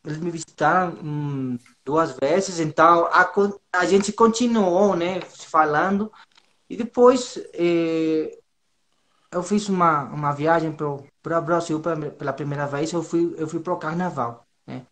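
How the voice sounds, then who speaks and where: A man's voice: a little high, a bit bright. A man from northern Mexico, a native Spanish speaker with a Mexican accent, talks casually as an interview guest, nervous and hesitant, often repeating himself. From Monterrey, northern Mexico. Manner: hesitant, halting, with frequent repetitions and slangy tags. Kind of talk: casual informal chat.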